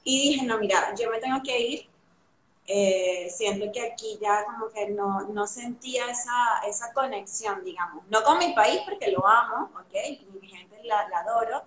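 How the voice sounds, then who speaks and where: A young woman from Venezuela with a Venezuelan accent, chatting casually as an interview guest, animated and full of fillers. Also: fairly high pitch, normal speed, a little hiss or hum. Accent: Venezuelan Spanish. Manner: chatty and animated, many fillers, laughs easily. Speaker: guest artist. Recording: roomy echo.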